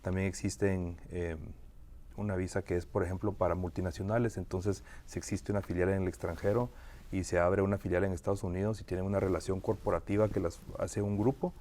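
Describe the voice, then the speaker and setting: Deep-voiced man explaining at measured pace. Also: level tone, warm tone. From Guatemala. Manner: measured, with frequent "eh" fillers and restarts. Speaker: immigration lawyer, guest. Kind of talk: explanatory interview answers.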